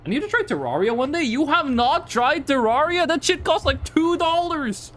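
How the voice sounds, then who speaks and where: Lively high-voiced Norwegian man streaming. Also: moderate pace. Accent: Northern Norwegian. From Northern Norway. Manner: rambling storyteller, mock-formal asides. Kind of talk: casual livestream chat while gaming.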